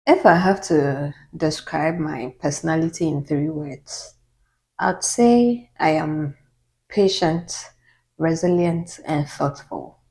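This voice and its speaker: A woman from Ghana with a Ghanaian accent, talking calmly and at a measured pace to her channel's viewers in a casual question-and-answer video. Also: deep voice, low-quality recording. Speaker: YouTuber. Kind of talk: casual get-to-know-me Q&A.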